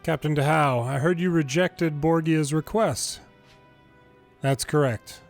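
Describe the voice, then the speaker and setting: Guy reading out video game story lines, voice a bit on the high side, some music behind him. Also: a little slow. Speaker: game longplay narrator reading dialogue aloud. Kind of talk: reading game story text aloud, casual commentary asides.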